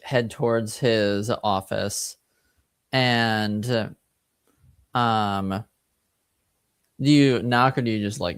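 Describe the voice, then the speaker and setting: A man working as game master, narrating a tabletop game in a casual, halting, conversational way. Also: medium pitch, clean tone, no echo.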